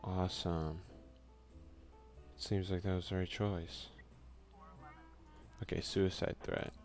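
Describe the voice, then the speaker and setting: Man, deep voice, gravelly fry, soft-spoken casual gaming commentary, muttered asides. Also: little pitch movement, slow pace, faint music behind. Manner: reads game text aloud, mutters offhand asides. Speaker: let's play host.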